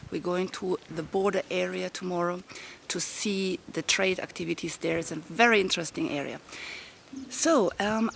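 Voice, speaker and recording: A woman with a rough voice, giving upbeat step-by-step business advice to camera from a garden outdoors. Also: medium pitch, a little hiss or hum. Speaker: business coach. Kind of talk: casual talk to camera. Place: garden of a private villa, outdoors.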